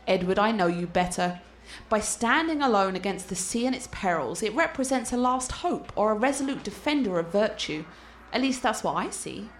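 A woman's voice: mid-range voice, expressive, moderate pace, hardly any pauses, slight background noise, natural voice. A woman playing a cultured, confident art scholar and teasing fiancée in a radio play, articulate and playful. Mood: enthusiastic.

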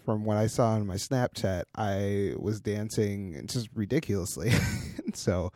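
A hoarse man co-hosting a casual tech podcast. He laughs often and tells loose, rambling stories. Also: lowish voice, unhurried, mellow, no room sound, clean studio sound. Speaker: podcast co-host, independent designer and developer. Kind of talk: casual chat.

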